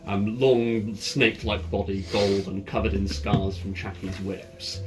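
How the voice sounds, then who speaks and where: A man narrating a tabletop roleplaying game as game master, setting scenes in a halting, descriptive way. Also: lowish voice, little pitch movement. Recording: ambient music behind the voice. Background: ambient background music.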